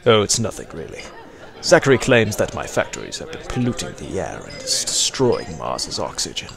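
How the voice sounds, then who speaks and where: A man's voice: low voice, very animated, normal speed, music in the background, crowd noise, full character voice. A man playing a genial mansion host. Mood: breezy. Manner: smooth, hospitable, dismissive of trouble. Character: genial wealthy male mansion host, factory owner. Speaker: party host.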